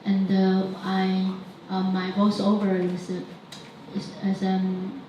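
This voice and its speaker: Slow, halting woman, non-native English. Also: mid-range voice, completely flat delivery, warm tone, a little hiss or hum. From Taiwan. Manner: halting, searching for English words. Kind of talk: panel discussion answer. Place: event hall. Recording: echoey hall, distant mic, dull sound.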